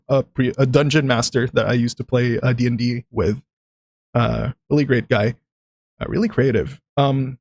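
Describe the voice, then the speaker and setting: A man's voice: medium pitch, dry recording. A man with a Filipino accent, speaking English as a second language, chatting casually about voice acting on a stream and often breaking into laughter. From Philippines. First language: other than English. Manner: rambling, laughs often, many restarts.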